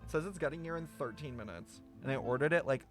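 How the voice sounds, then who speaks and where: A young man streaming casual, playful game reactions, excitable and slangy with plenty of swearing. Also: medium pitch, fast. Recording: game music behind.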